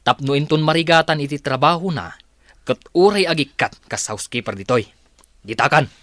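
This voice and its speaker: An actor playing a curt, short-tempered company boss and husband in a Filipino radio drama, with a Filipino accent. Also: male voice, a little high, a little fast, a bit bright, natural voice. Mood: scornful.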